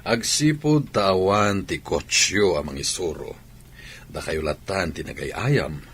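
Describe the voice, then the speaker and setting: A man's voice: low voice, animated, slow, a bit bright, husky, full character voice. A man playing an authoritative, encouraging basketball mentor in an Ilocano radio drama. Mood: instructive. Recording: noisy background ambience.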